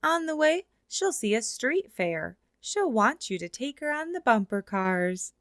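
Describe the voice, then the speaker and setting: A woman reading a children's picture book aloud in an expressive way, slowing down for the closing lines. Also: a little high, dry recording, clean studio sound. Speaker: storybook reader. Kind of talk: children's read-aloud narration.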